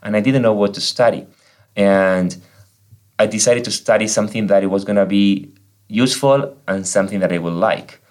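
A man's voice: fairly low pitch, normal speed, a little mellow. A man from Argentina with an Argentine Spanish accent, a priest and native Spanish speaker, explaining faith earnestly in a podcast conversation.

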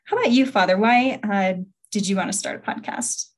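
A woman's voice: medium pitch, a little fast. A woman hosting a podcast, speaking in a conversational interview style. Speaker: podcast host.

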